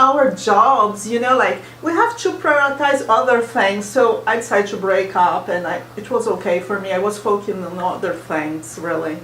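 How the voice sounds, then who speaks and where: A woman's voice: medium pitch, a little fast, hardly any pauses, a bit bright, slight background noise. Earnest woman from Brazil. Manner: earnest, reflective. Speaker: student guest. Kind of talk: casual discussion. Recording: echoey room, far from mic.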